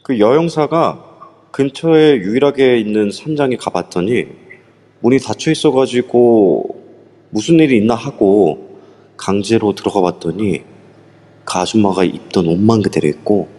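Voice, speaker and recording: A man's voice: lowish voice, lively intonation, moderate pace, mellow, in a large hall. A man playing a younger male companion, talking casually. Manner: casual, reporting. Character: younger man who calls the leader big brother. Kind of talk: amateur audio drama acting.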